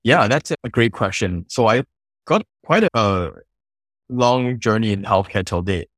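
Man from Singapore, founder, reflective storytelling, very low voice, dry mic. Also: animated, measured pace, a bit bright, no room sound. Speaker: founder guest. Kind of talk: interview storytelling.

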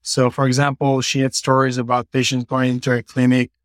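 A man's voice: mid-range voice, completely flat delivery, fairly quick, no room sound. Casting: male CEO from Israel, mid voice, earnest, filler-heavy, dry call sound. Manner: earnest explaining with many fillers. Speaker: company CEO guest. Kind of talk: podcast interview. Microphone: remote call.

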